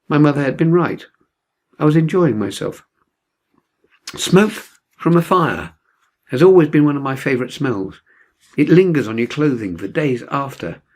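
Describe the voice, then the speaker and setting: A man reading a novel aloud as dramatic literary narration, expressive and measured. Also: medium pitch. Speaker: audiobook reader.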